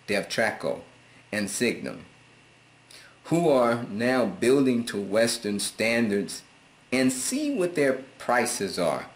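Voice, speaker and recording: A middle-aged American man reading viewer comments aloud and answering them in a laid-back, amused and sarcastic way. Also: fairly low pitch. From United States. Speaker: vlogger.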